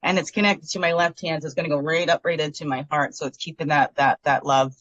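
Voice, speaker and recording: A woman's voice: sits low, steady tone, fast, a bit bright, no echo, highs cut off. A woman sharing personal feelings over a video call in a heartfelt, rambling way, close to tears. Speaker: guest member.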